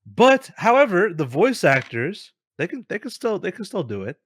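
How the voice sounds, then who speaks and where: A man's voice: high voice, big pitch swings, moderate pace, slight background noise. A man co-hosting a gaming show, giving opinions in loose, informal, slangy chat with some swearing. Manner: informal, says bro, swears. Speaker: co-host of gaming news show.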